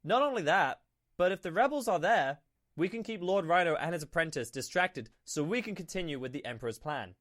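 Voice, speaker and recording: A man's voice: on the high side, animated, fairly bright tone. A man acting as a cautious, deferential military officer in a sci-fi roleplay, speaking formally. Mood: cautious, dutiful. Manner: formal, measured advice-giving.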